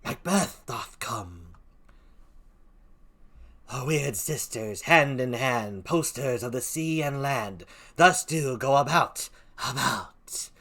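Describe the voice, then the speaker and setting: Eerie weird sisters , put on by a solo reader, chanting their curses slowly with wild leaps in pitch and breathy, husky whispers. The storybook witches sound sly and gleeful. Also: expressive, a bit bright, full character voice. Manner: sing-song chanting, dropping to whispers. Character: cackling weird sister witches plotting spells.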